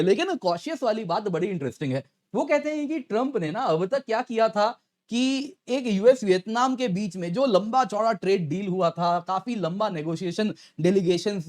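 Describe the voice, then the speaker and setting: A man presenting current affairs as an educator, conversational, addressing viewers directly and posing rhetorical questions. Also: sits high. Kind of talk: explanatory news commentary to viewers.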